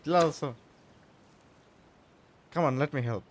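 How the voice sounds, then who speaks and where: A man's voice: a little high, unhurried. A man doing casual, exclamatory gameplay commentary, repeating himself and talking back to the characters. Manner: exclamatory, repetitive, talks to the game characters. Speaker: gaming streamer.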